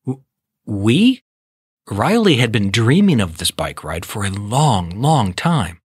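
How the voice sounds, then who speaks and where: Husky man narrating a children's storybook. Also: lowish voice, very animated, a little slow, no echo, studio quality. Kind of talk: scripted children's read-along narration.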